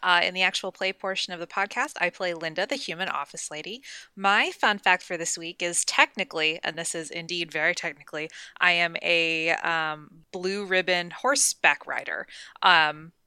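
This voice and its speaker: A woman chats casually on a podcast, wry and self-deprecating. Her voice is bright and clear, and a little low. The recording is close and dry. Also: normal speed, no echo. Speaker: podcast co-host. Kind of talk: casual chat.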